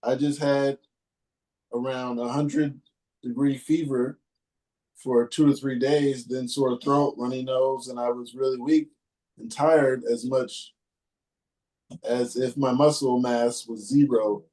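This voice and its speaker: Mellow male podcast host. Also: mid-range voice, poor audio. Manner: laid-back, reads in a halting steady way. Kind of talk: casual chat and reading a listener post aloud.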